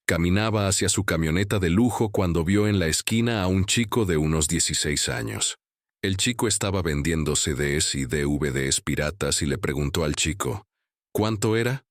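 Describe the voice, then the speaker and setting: A man narrating scripted horror stories in a grave, measured manner. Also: low voice, no room sound, clean studio sound.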